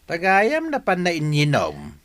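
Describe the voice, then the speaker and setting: A man acts out a blunt village neighbor in a radio drama. He is chatty and exclaiming, full of complaint, with pitch that swings freely. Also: wildly swooping pitch, a little slow, fairly bright tone, exaggerated voice. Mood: complaining, gossipy. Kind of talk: Ilocano radio drama acting.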